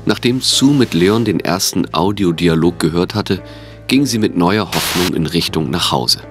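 Deep-voiced man narrating over music. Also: a bit bright. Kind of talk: scripted audio drama narration.

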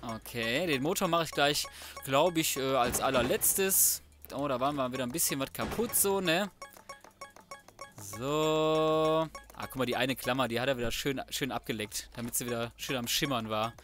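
A man's voice: medium pitch, moderate pace, fairly bright tone, soft background music. A man giving casual, colloquial let's play commentary, muttering to himself as he goes. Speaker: gaming video host.

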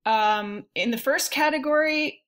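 A woman's voice: sits high, measured pace, a bit bright. A woman speaking as a podcast guest in a casual panel discussion, thoughtful and discursive.

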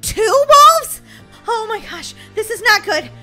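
A woman performs a nervous, dramatic little girl in a children's game story. The voice is extremely high and sharp, leaping around as it whines and exclaims. Music plays underneath. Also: big pitch swings, slow, full character voice. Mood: panicked. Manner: breathless exclaiming, whiny stammering. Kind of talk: children's Roblox roleplay story.

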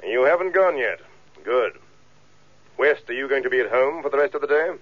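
A man's voice: medium pitch, expressive, fairly quick, natural voice. Stern, curt police superintendent, played by a man. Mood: curt, insistent. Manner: clipped, peremptory, formal. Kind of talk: radio drama acting. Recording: dull lo-fi radio drama recording.

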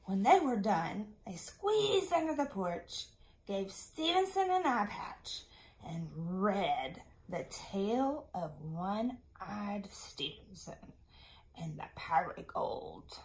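A woman in her thirties, a schoolteacher reading a picture book aloud to young children in an expressive, teacherly way, often putting questions to the listeners. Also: medium pitch, takes it slowly. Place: small indoor classroom-style room. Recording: echoey room.

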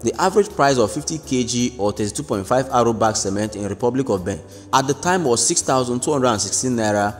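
A man narrating a scripted news report in a steady, even read-aloud delivery. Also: mid-range voice, quick pace, few pauses. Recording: long reverb with faint background music.

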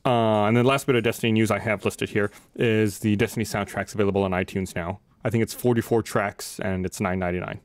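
Low-voiced man hosting a gaming podcast. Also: steady tone, quick pace, hardly any pauses. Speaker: podcast host. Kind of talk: casual gaming news discussion. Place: podcast studio. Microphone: desk studio microphone, close.

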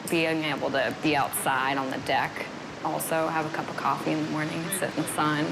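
A woman's voice: low voice, little pitch movement, a little fast, creaky, noisy background. An American woman from Alaska, in her thirties, effusively giving casual interview answers as a barista. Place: small quiet seating room indoors.

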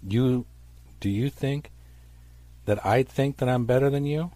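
A man's voice: mid-range voice, unhurried, dark tone. A middle-aged man preaching a stern, accusatory fire-and-brimstone sermon in an emphatic, declamatory way.

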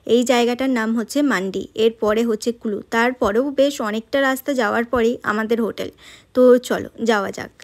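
Woman doing a chatty travel vlog voiceover, bit high voice, keeps asking viewers stuff. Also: fast talker. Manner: chatty, addresses viewers directly with tag questions. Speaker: travel vlogger. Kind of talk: voice-over narration of a road trip vlog.

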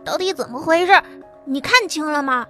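A woman's voice: sits high, lively intonation, normal speed, pushed and bright, faint music behind. A woman voicing a brash male workshop boss who eagerly explains his weapon ideas in an audiobook drama. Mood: frustrated.

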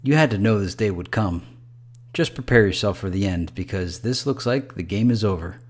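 A man's voice: fairly low pitch, animated, moderate pace. A man voicing a resigned, fatalistic father in a told story, speaking in a grave, matter-of-fact manner. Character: resigned, fatalistic father calmly announcing the end.

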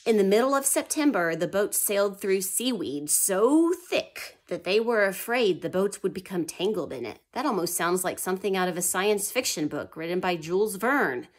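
A woman's voice: medium pitch, lively intonation, normal speed, few pauses. A woman teaching history to young children, reading aloud and stopping to ask and answer her own questions, sometimes dropping to a whisper. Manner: asks children questions and answers them herself; drops to a whisper for suspenseful parts.

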